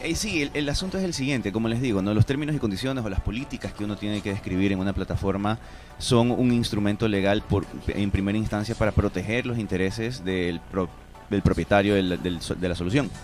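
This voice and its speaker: A man's voice: fairly low pitch, brisk, a little mellow, faint music behind. A man in his thirties explaining things with examples as an expert guest in a radio studio interview. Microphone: studio broadcast microphone.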